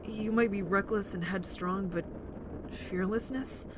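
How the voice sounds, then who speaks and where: A woman acting a thoughtful, soft-hearted teenage boy with dry wit, speaking gently and hesitantly in an audio drama. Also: mid-range voice, unhurried, lightly acted. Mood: admiring, shy. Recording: clear vehicle-like background noise.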